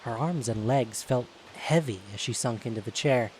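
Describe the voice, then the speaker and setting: A man's voice: mid-range voice, moderate pace, darkish tone, poor audio. A man narrating an audio drama in a scripted storytelling style. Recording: faint hiss.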